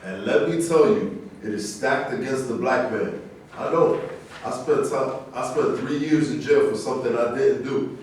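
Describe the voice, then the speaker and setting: A man's voice: medium pitch, moderate pace, darkish tone, natural voice. A man playing a tough, street-smart former boxing champion in a staged reading. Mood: tough, aggrieved. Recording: echoey room, far mic, faint noise.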